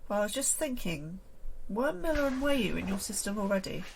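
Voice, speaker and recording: A creaky, lively-pitched anxious female scientist. She sounds fretful and prickly, as if thinking aloud in a sci-fi audio drama, with clear noise in the background. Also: brisk, low-quality recording. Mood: uneasy, pensive.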